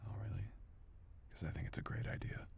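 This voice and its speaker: A man's voice: fast talker, slight background noise, lightly acted. A man playing someone who confronts a friend and then confesses his love, in an intimate audio roleplay addressed to the listener. He drops into murmured whispers, then raises his voice sharply, and swears often. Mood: intense.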